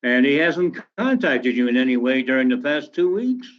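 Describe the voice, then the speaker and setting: An actor playing a businesslike investigator in a radio drama, questioning politely and giving little away. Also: male voice, medium pitch, big pitch swings, moderate pace, lo-fi sound, natural voice. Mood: probing. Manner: probing, politely noncommittal. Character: businesslike, evasive male investigator following orders.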